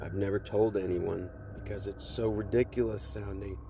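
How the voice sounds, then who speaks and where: A man hosting a podcast, reading creepy first-person stories aloud in a measured, deliberate narration. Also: on the low side, steady tone, gravelly, noisy background. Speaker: podcast host and reader. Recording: music under the voice. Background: background music.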